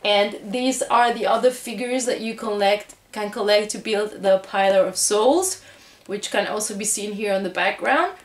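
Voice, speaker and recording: A woman's voice: medium pitch, normal speed. A woman chatting casually and enthusiastically as she hosts a haul video, gushing about what she shows. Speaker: video host showing a collectibles haul. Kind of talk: casual haul vlog chat. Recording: echoey room.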